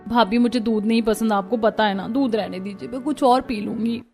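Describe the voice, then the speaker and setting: A woman's voice: a little high, fairly even intonation, fairly quick, a little mellow, soft background music, lightly acted. A woman voicing a sweet, affectionate pregnant young wife in a cartoon story. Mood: reluctant.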